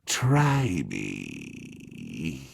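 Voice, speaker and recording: A man plays an otherworldly scissors grinder from a storybook, testy and businesslike. He speaks very slowly and officiously, drawing words out with broad swings in pitch. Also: huge pitch swings, creaky, heavily acted. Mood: affronted. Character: testy supernatural scissors grinder, male, businesslike death figure. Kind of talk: dramatized storybook reading.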